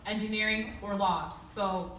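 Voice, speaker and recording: A woman's voice: medium pitch, fairly even intonation, normal speed, over a noisy crowd. A young woman co-hosting a casual group chat, leading the questions in an upbeat way. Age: young adult. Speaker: co-host. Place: indoor room with a group of friends. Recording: echoey room, mic far off.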